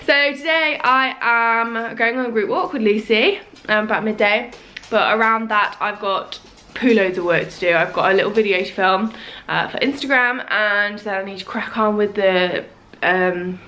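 A woman's voice: medium pitch, steady tone, moderate pace, bright, forceful tone. A young English woman talking casually to her camera, chatty and upbeat with lots of fillers. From England. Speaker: vlogger. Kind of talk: casual vlog chat to camera. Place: car, then a home kitchen. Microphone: handheld camera at arm's length.

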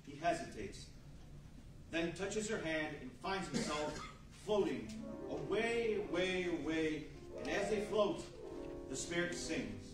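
A man's voice: fairly high pitch, lively intonation, takes it slowly, over music. A man narrating a scripted radio play as its storyteller. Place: large indoor meeting room, live stage reading. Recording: very echoey room, distant mic.

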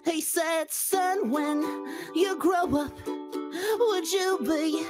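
A woman's voice: very high voice, drawn-out words, full character voice. A woman voicing a cutesy, menacing small boy showman in an improvised comedy cartoon fandub. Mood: theatrical singing. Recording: music loudly behind the voice.

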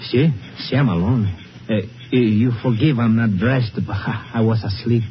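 A man's voice: medium pitch, a little slow, natural voice. Actor as a stammering, timid Mexican man, muffled recording. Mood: nervous politeness. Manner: stammering, hesitant, deferential. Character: timid, frightened Mexican man living in a lonely shack. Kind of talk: 1950s radio drama acting. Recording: muffled old radio recording, faint hiss.

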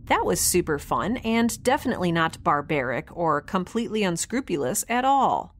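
Wry, lively young space scientist. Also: female voice, lowish voice, moderate pace. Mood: mocking. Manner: sarcastic, breezy, casual. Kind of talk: science fiction audio drama acting.

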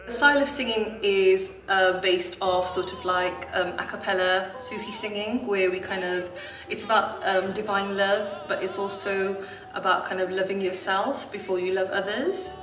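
A woman in her thirties whose first language is Urdu, a singer talking about her part in an interview, in a reflective way with soft fillers. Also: medium pitch, fairly even intonation, normal speed. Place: small room with a poster. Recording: roomy sound from a distance, music behind.

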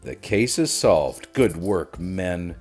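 A man reading a children's storybook aloud in an animated, deliberate storytelling manner. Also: sits low, faint music behind. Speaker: children's book reader. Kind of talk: expressive storybook read-aloud.

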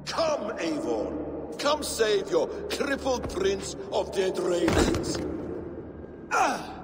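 A high-pitched, taunting, vengeful assassin. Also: male voice, very animated, drawn-out words, exaggerated voice. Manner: theatrical, menacing, savoring words. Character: vengeful, taunting, half-mad male assassin villain. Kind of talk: dramatic video game cutscene acting. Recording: cavernous reverb, music underneath.

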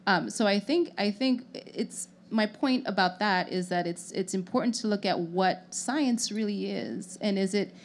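A woman's voice: medium pitch, unhurried, few pauses, slight background noise. A young woman from Haiti speaking as an artist on a panel, earnest and thoughtful, often restarting her phrases, into a handheld mic in an indoor lounge. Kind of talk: panel discussion. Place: lounge venue with audience, indoors.

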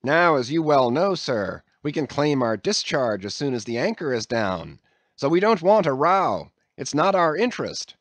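A man's voice: on the high side, sing-song, normal speed, a bit bright, dry recording, pitched above the performer's own voice. A man voicing a blunt, defiant sailor who leads a group of mutineers. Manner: blunt, pleading and threatening by turns.

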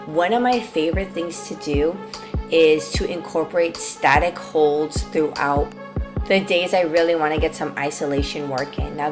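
A woman in her twenties talking through an arm workout in a relaxed, upbeat, explanatory way. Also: on the low side. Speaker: fitness vlogger. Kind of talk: casual workout walkthrough. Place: gym. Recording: music underneath the voice.

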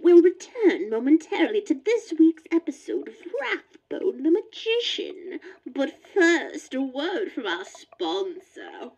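Grand, dramatic radio-serial announcer. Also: female voice, sits high, wildly swooping pitch, takes it slowly, few pauses, a little mellow, heavily acted, poor audio. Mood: suave. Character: suave, ominous female announcer of mystery serial.

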